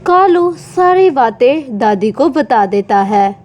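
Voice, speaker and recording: A woman's voice: sits high, expressive, slow pace, a little mellow. A woman narrating a scripted children's story.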